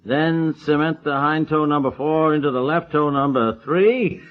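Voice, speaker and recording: An actor playing a grumbling, flustered but soft-hearted family father in an old-time radio drama. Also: fairly high pitch, normal speed, natural voice. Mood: grudging, plodding. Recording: dull lo-fi vintage radio recording.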